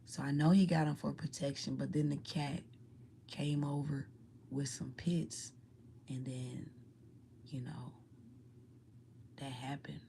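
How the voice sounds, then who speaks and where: A woman telling a story to her viewers in a soft, low whisper, rambling and using casual slang. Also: flat delivery, takes it slowly, creaky, long pauses, a little hiss or hum. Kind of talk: whispered ASMR-style storytelling.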